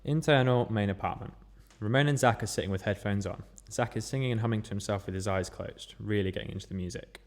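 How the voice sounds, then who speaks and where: A man's voice: on the low side, fast talker. A man narrating stage directions from a script at a table read.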